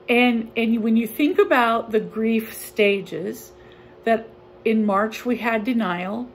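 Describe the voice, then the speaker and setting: A woman in her sixties, a pastor preaching a sermon from her living room into a close-up phone camera, speaking slowly and earnestly in a conversational way with a few fillers. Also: a little high, a little hiss or hum. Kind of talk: home-recorded sermon. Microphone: phone or webcam close up.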